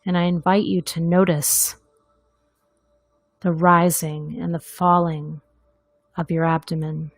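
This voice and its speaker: A woman leading a guided meditation in a gentle, deliberate manner, leaving long pauses between phrases. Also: fairly low pitch, flat delivery, dry recording, faint music behind.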